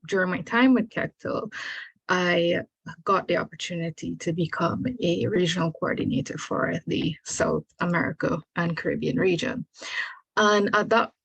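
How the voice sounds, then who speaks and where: A woman's voice: fairly low pitch, normal speed, creaky, a bit bright, slightly rough, no echo, rough recording. A woman with a Guyanese accent, giving an informal testimonial as a program alumna. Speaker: program alumna and regional coordinator.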